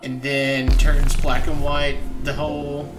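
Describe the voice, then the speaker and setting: A man's voice: medium pitch, completely flat delivery, takes it slowly, over music. A young man hosting a reaction video, commenting casually and enthusiastically, often rephrasing himself as he goes. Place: small indoor room at home.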